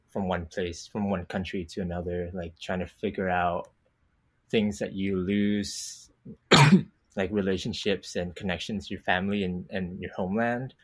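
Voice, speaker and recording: A young man from Vietnam, a guest on a podcast, talking thoughtfully and unhurriedly about his work, with many filler words. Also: low-pitched. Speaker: podcast guest, comic artist. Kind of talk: casual interview talk.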